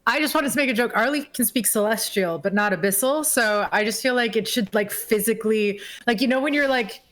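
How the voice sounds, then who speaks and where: Woman player, kinda high voice, giggly, says like a lot. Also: brisk, a bit bright. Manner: giggly, lots of filler likes. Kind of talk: casual chat.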